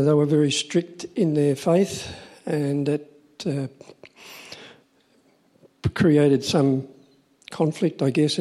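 Mellow-voiced man in his seventies. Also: on the high side. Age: 70s. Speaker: panel speaker. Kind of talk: personal introduction at a church panel.

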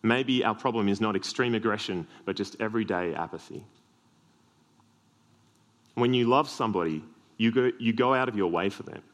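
An Australian man with a deep voice preaching a sermon, earnest with self-deprecating humorous asides. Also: moderate pace, long pauses, fairly bright tone.

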